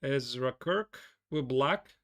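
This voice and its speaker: A man's voice: mid-range voice, lively intonation, takes it slowly, fairly bright tone. A man with a Latvian accent giving casual live chess commentary, thinking aloud in short phrases and questions.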